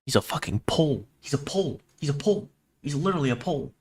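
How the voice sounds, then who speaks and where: A man's voice: a little high, lively intonation, fast. Casual man livestreaming over music. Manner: sweary, blunt, teasing banter with chat. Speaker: livestream host reacting to horror videos. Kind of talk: casual stream chat mixed with reading on-screen text aloud.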